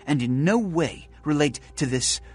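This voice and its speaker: An actor playing a haughty, aristocratic vampire prince in a video game, formal, pompous and condescending, coldly scheming. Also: male voice, on the high side, expressive, unhurried, husky. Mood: measured, businesslike. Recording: music behind the voice.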